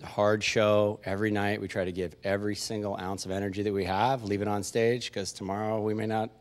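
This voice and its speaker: A man's voice: fairly low pitch, level tone, fairly quick. Laid-back joking man, rock singer. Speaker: band singer. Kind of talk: casual interview. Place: studio stage. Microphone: handheld mic.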